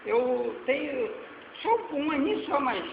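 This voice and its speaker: Forties male preacher, Brazilian accent, fervent then hushed, recorded roomy and far with faint hiss. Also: very high voice, a little slow, vocal fry. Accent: Brazilian Portuguese. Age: 40s. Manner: swings between loud exhortation and quiet asides. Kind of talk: sermon with slides. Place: church hall. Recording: echoey hall, dull lo-fi sound, faint noise.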